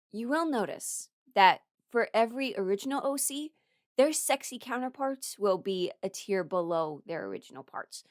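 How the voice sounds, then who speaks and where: A woman's voice: fairly high pitch, no room sound, clean studio sound. A woman rambling casually as a fan, gushing and emphatic, with dramatic exclamations and casual swearing. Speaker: voice content creator ranking characters.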